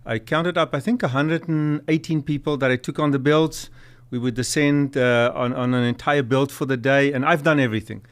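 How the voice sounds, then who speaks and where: Middle-aged south african guy, smooth voice, earnest storyteller with lots of uhs. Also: medium pitch, steady tone, moderate pace. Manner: storytelling, earnest, many fillers. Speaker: guest, nonprofit executive director. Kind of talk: casual interview chat.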